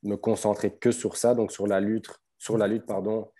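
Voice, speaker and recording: A man with a Belgian French accent, a strength coach talking as a podcast guest in a relaxed, hesitant way with many fillers. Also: lowish voice, moderate pace, slightly rough. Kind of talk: casual podcast conversation.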